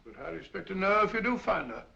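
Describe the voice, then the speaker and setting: An actor playing a bluff, puzzled male companion in a 1940s film, with a gravelly, exasperated manner. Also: sits high, very animated, very fast, sharp tone, exaggerated voice. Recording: dull old film soundtrack.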